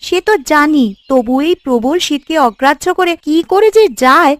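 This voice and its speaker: A woman voicing an expressive, fretful village housewife in a cartoon, with a gossipy, nagging way of talking. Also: high voice, normal speed, dry recording. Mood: chatty. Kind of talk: cartoon voice acting.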